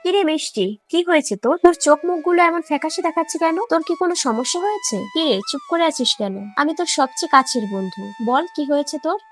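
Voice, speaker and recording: A high, fast-moving voice of an earnest teenage village girl, acted by a woman for a cartoon. She is outspoken and emphatic, a devoted daughter. Faint music sits behind her. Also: lively intonation. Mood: worried, dismayed. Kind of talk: Bengali cartoon voice acting.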